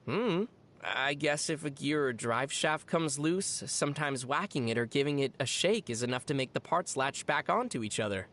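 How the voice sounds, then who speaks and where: An actor playing a calm young traveler in video game dialogue, with measured explanations and a dry, deadpan, teasing wit. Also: mid-range voice. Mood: patient, wry.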